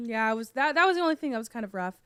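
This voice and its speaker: A young woman co-hosting a podcast in casual chat, her voice hoarse and lower from a cold, rambling with many fillers and restarts. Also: big pitch swings, fast, a bit bright, dry recording. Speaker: podcast co-host.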